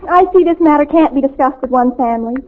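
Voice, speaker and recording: A woman's voice: high-pitched, fast, close to an everyday voice. A woman playing a dramatic, starstruck, self-important American teenage girl in a 1940s radio sitcom, gushing and theatrical. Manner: gushing, theatrical, grandly affronted. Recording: tinny old radio recording.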